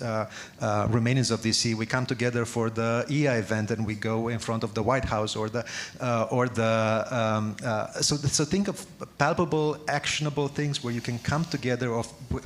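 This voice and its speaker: A man speaking on a live panel discussion, halting often with fillers and restarts as he searches for words. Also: mid-range voice, a little hiss or hum.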